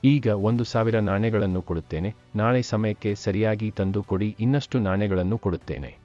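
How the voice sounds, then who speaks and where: A man playing a courteous, generous, wealthy village gentleman in an animated moral story, polite and measured. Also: lowish voice, soft background music, lightly acted. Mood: businesslike. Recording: muffled lo-fi sound.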